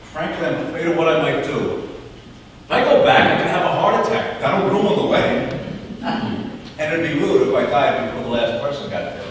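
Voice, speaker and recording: An anxious middle-aged father with dry wit played by a man on stage. His voice is a little high. It comes from far off in a very echoey hall. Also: expressive, normal speed, a little hiss or hum, natural voice. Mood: anxious, evasive. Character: anxious middle-aged father, wryly joking, avoiding a wedding. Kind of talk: stage play acting. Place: theatre stage, live performance.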